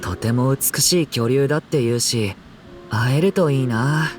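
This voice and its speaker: A man's voice: medium pitch, brisk, background noise, close to an everyday voice. A man voicing a friendly, curious young companion in scripted fantasy game dialogue. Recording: game dialogue under clear music.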